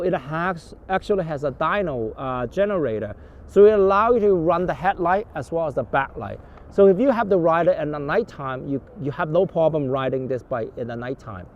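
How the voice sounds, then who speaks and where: Male presenter, slightly high voice, halting informal walkthrough style, recorded outdoors with vehicles around. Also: lively intonation, darkish tone, muffled recording, a little hiss or hum. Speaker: bike shop presenter.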